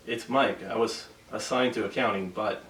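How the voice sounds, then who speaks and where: A man's voice: fairly low pitch, fairly even intonation, normal speed, a bit bright. A man playing a nervous, timid new accounting recruit, speaking hesitantly in a comedy script read. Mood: uneasy. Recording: echoey room, distant mic, faint noise.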